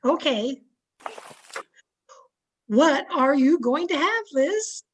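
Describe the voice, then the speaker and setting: A dotty, eager neighbour friend played by an actress in an old radio comedy. The voice is high and chirpy, with a gushing, sing-song delivery. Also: female voice, animated, extremely slow, highs cut off, slight background noise, heavily acted.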